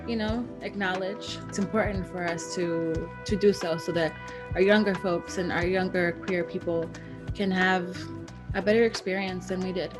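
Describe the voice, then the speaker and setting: A woman's voice: fairly low pitch, steady tone, moderate pace. A woman from El Salvador, a street artist and photographer, speaking earnestly in an interview with frequent ums. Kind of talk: interview talk. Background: guitar music.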